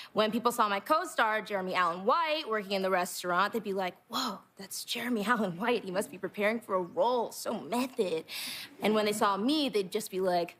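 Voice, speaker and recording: A woman from Boston hosting a comedy monologue on stage, playful and quick-witted storytelling. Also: medium pitch. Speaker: guest host giving a monologue. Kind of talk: stand-up monologue. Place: large theater stage before a live audience. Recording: loud live studio audience.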